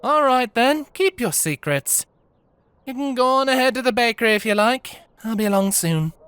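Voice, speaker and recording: A man's voice: very high voice, expressive, normal speed, a bit bright, clear, resonant tone, dry recording, voice pushed up. Man doing a folksy, teasing baker mother. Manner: folksy and playful, with a homely lilt. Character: warm, teasing middle-aged mother and baker. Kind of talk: storybook character voice.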